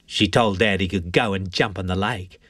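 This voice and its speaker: A man voicing a chatty, grumbling storybook woman, gossiping in an animated way. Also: deep voice, normal speed, no echo, clean studio sound. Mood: gossipy reminiscing. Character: chatty grown woman gossiping about her parents.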